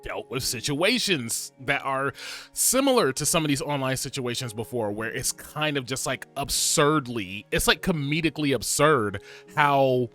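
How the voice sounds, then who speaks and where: A man in his twenties chatting casually to camera, rambling and animated, with lots of fillers and laughs. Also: mid-range voice, normal speed, soft background music. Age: 20s. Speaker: vlogger.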